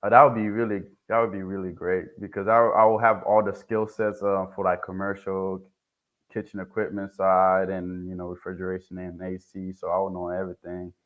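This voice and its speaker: Soft-spoken young man from Haiti. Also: deep voice, level tone, phone-quality sound. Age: young adult. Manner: soft-spoken, halting with repeated restarts. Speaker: HVAC technician guest. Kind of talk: casual interview.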